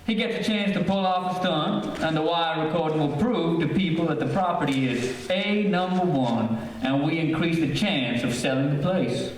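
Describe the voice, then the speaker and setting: A man's voice: a little high, normal speed, few pauses, close to an everyday voice. A man acting a folksy, eager real estate salesman with a breezy, persuasive manner in an old-time radio drama. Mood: breezy persuasion. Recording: distant, cavernous echoey stage, faint noise.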